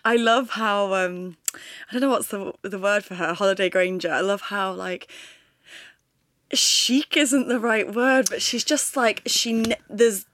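A woman's voice: mid-range voice, a little slow, fairly bright tone, no room sound. A woman co-hosting a podcast in casual chat, agreeable and giggly. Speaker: podcast co-host. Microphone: headphones, studio mic.

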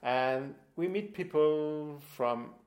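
A man speaks English with a marked French accent and plenty of gravelly creak in his voice. He talks conversationally as a guest, feeling his way through phrases and reaching for the right word. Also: on the high side, muffled recording. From Bordeaux, France. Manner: halting non-native English with searching for words. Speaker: interview guest. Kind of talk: casual conversational interview.

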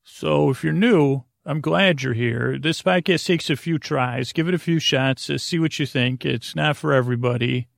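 Gravelly, droning man rambling at bedtime. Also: on the high side, no echo, studio quality. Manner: droning, meandering, halting with stammers and trailing tangents. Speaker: podcast host. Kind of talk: rambling bedtime monologue to help listeners fall asleep.

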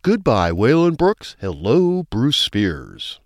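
An actor playing a smooth-talking, pushy lawman who strikes deals, in a crime audio drama. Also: medium pitch, huge pitch swings, slow pace, no room sound, clean studio sound, exaggerated voice. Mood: persuasive.

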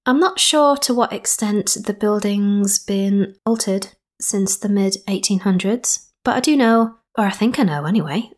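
Chatty young woman. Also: medium pitch. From Skipton, North Yorkshire. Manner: rambling, playful asides. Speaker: podcast host. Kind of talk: chatty spoken introduction and interview.

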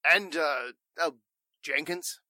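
A man playing an irritable, pompous and evasive warehouse boss in 1940s radio style, gruff and stammering. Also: fairly high pitch, slow pace, pushed and bright, no room sound, clean studio sound, full character voice. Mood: wary.

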